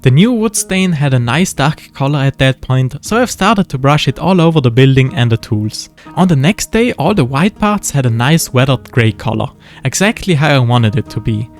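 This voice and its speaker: A man with a German accent narrates his model-building project in a relaxed, wry voice-over, slipping in dry jokes. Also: mid-range voice, normal speed, hardly any pauses. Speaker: model-building video creator. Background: faint background music.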